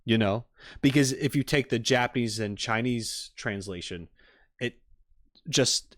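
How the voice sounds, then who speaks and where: A man's voice: mid-range voice, no room sound. A man streaming casual reaction commentary, rambling expressively and thinking aloud into a close mic. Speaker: streamer reacting to game videos.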